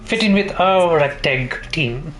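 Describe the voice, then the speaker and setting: A man's voice: fairly high pitch, lively intonation, slow, fairly bright tone, soft background music. A man giving casual, informal playthrough commentary as a gaming streamer, reactive and prone to swearing.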